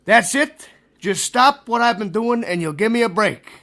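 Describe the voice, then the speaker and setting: A man playing a high-pitched, panicky small-time crook. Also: animated, normal speed, sharp tone, exaggerated voice. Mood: pleading.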